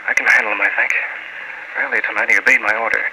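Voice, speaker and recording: A man's voice: on the low side, steady tone, rapid-fire, bright, forceful tone. A man playing a resolute, tough masked crime fighter in an old-time radio drama, terse and commanding. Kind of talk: 1940s radio acting. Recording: noisy old radio recording.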